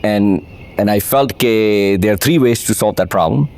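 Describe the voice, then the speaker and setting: Deep-voiced Pakistani man, reflective. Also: normal speed, darkish tone. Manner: reflective, storytelling, mixes in Urdu phrases. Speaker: guest, venture capitalist. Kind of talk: relaxed interview conversation.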